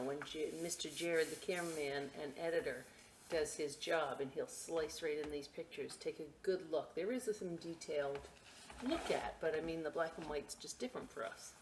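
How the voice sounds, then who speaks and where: A woman leads a children's story time at an unhurried pace. Her delivery is playful and very animated, slipping from reading into chatty asides and questions. There is some clear noise behind her voice. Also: lowish voice. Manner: playful, expressive, asks and answers her own questions.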